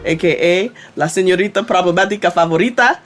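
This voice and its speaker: Playful guy cohosting a comedy pod, kinda high voice, quick jokey storytelling. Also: over music. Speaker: podcast co-host. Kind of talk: casual comedic chat.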